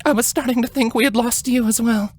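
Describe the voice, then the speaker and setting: Guy doing a young girly pony princess for a fantasy story, high voice, soft n emotional, gentle. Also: fairly even intonation, fairly quick. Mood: worried relief.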